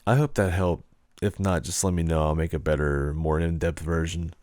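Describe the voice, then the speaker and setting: A man's voice: low-pitched, normal speed, a little mellow, no room sound. A man giving a casual explanatory tutorial as a video creator.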